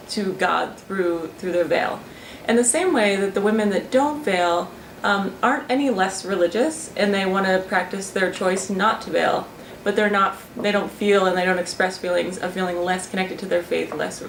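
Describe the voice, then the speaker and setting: A woman's voice: mid-range voice, fairly even intonation, moderate pace, gravelly, slight background noise. A young woman explaining her research in an interview, talking thoughtfully with many fillers and restarts. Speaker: student researcher. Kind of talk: interview talk. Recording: roomy and a little distant, faint ambience.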